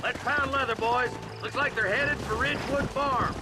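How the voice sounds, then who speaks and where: An actor playing a rough, commanding posse leader in a western game, barking orders. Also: male voice, very high-pitched, fairly even intonation, normal speed, very noisy. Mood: commanding, fired up. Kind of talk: video game western acting.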